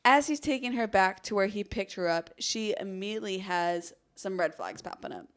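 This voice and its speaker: A woman's voice: mid-range voice, expressive, moderate pace. A woman hosting a true crime podcast, telling the story dramatically with casual conversational asides.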